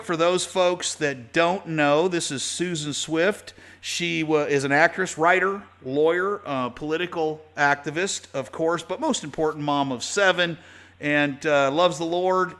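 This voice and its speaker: A man's voice: a little high, a little slow, fairly bright tone. A man hosting his own radio show, chatting with a guest in a folksy way, full of fillers and restarts. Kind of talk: casual talk-radio interview.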